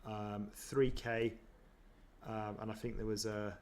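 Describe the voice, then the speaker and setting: A man's voice: lowish voice, level tone, slow pace, a little mellow. A man chatting casually as he reacts to footage of himself, self-deprecating, with many ums and restarts. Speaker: running vlogger.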